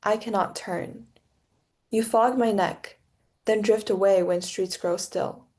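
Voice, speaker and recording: Young woman, slow and soft-toned poet. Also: mid-range voice. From China. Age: young adult. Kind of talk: introducing and reading own poem aloud.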